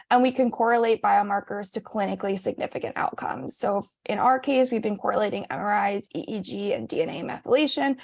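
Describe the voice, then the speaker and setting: A woman researcher giving a measured presentation at a virtual conference. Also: a little high, vocal fry, darkish tone, dry recording. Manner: measured, reads prepared points.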